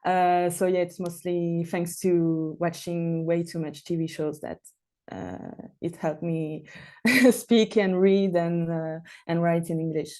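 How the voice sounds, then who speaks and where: Female panelist, young adult, French accent, soft dark tone, slow hesitant casual delivery. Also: fairly low pitch, level tone. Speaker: panelist, beer writer. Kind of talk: casual personal account on a panel.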